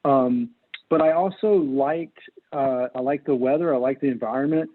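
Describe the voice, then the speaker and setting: A man's voice: mid-range voice, normal speed. American man on a tinny, phone-like line. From North Carolina, United States. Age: middle-aged. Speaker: political candidate, lawyer. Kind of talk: interview answers, campaign talk.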